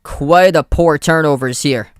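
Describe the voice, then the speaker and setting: A man's voice: a little high, measured pace, clean tone, no echo, studio quality. A young man with a Boston accent doing live play-by-play commentary for a streamed basketball game, calling the action in short clipped phrases.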